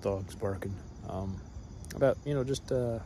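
A man in his thirties rambling hesitantly to a camera held close below his face, with plenty of fillers and trailing thoughts. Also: a little slow. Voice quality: fairly deep voice. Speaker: vlogger. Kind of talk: rambling casual monologue. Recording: loud cricket noise behind voice. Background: crickets and insects at night.

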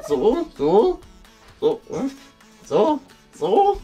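A man's voice: high-pitched, huge pitch swings, drawn-out words, warm tone, soft background music, full character voice. A man playing an awkward, gullible babysitter in a children's comedy skit, stammering and bumbling as he talks. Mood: hesitant, flustered. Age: young adult. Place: small furnished rooms indoors.

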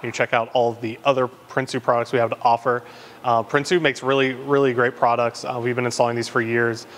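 A young man casually walking through a vehicle install in a large garage workshop, relaxed and filling pauses with ums and uhs. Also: mid-range voice. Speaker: off-road shop presenter. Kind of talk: casual product walkthrough.

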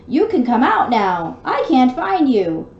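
A woman's voice: high-pitched, sing-song, slow, full character voice. Lady doing a friendly bouncy tiger guy from a picture book, eager, echoey room. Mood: calling out. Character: bouncy friendly male cartoon tiger, playful. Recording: dull lo-fi home recording with noise.

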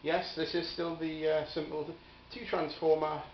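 Higher-voiced man casually demonstrating a gadget. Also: little pitch movement, normal speed. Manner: hesitant, offhand. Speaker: electronics hobbyist demonstrator. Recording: dull home video with faint noise.

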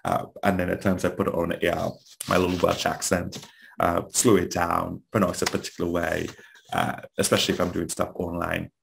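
A man's voice: sits low, flat delivery, a little fast, vocal fry, slight background noise. A man from Trinidad with a Trinidadian accent, giving a conversational webinar talk as a trainer and asking rhetorical questions.

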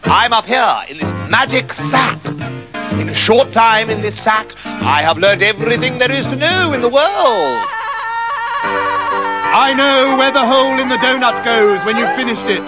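A man's voice: very high voice, animated, measured pace, over loud music, voice pushed up. A man voicing a pompous, cane-happy male schoolteacher in a children's story, exclaiming with sing-song relish. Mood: sly, boastful.

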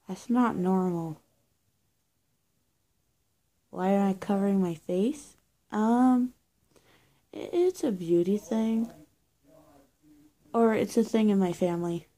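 A young woman improvises one side of a roleplay scene. Her voice is husky and on the dark side, slightly muffled by a scarf, and she takes her time with unhurried delivery. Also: mid-range voice. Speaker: amateur roleplay video creator. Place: small plain indoor room. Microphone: phone or webcam at arm's length.

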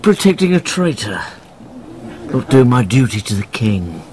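An actor playing a sly, resentful sailor in a radio drama, speaking in a measured, insinuating way. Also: male voice, medium pitch, big pitch swings. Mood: sly, conspiratorial. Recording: tavern chatter behind.

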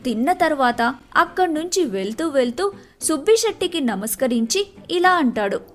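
A woman's voice: sits high, expressive, normal speed. A woman narrating a moral story from a script, in the manner of a storyteller.

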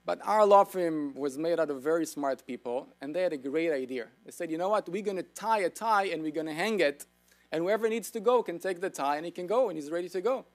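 A man's voice: fairly high pitch, animated, brisk, soft background music. A middle-aged man with an Israeli accent giving a keynote business lecture, with informal, humorous storytelling. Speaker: keynote speaker.

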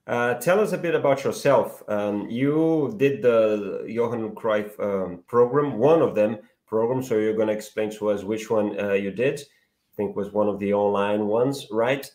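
A man's voice: on the low side, unhurried, darkish tone. A man in his thirties hosting a video call interview, asking friendly questions with fillers. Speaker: host. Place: home room, indoors. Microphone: laptop webcam on video call.

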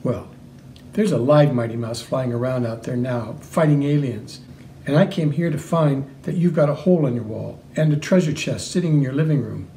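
A man's voice: mid-range voice, moderate pace, dark tone, recorded from a distance, a little hiss or hum, voice pushed down, close to an everyday voice. Man reading as a firm, concerned policewoman. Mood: probing. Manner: firm, questioning. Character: firm, concerned female police officer questioning a child.

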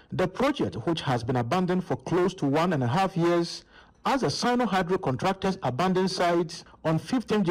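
A man's voice: on the high side, low-quality recording. A man with a Ghanaian accent narrating a scripted news report as a correspondent. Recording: clear ambient noise behind voice.

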